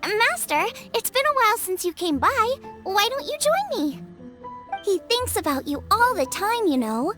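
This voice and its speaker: A woman's voice: very high-pitched, sing-song, moderate pace, music in the background. A woman playing a cheerful, eager young girl chef in a video game, with a perky, earnest manner. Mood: friendly. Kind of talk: animated video game acting.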